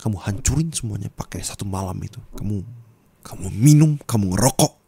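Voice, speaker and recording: A man's voice: animated, brisk, gravelly, slightly rough, heavily acted. A man playing a furious heartbroken young boyfriend. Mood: bitter. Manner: scolding, swings from shouting to low bitter muttering. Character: angry heartbroken young man confronting partner. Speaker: roleplay voice actor. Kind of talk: ASMR roleplay.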